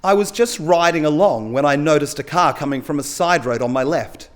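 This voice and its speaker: A man's voice: fairly high pitch, fairly quick, close to an everyday voice. A man reading the part of an injured, vengeful cyclist in a story, emphatic and colloquial. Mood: recounting, shaken.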